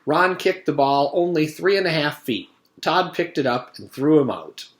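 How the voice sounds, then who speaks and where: A man's voice: on the high side, animated, normal speed. A man who reads a children's storybook aloud as a teacher would to a young class. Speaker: teacher reading aloud to a third grade class. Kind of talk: animated children's storybook narration.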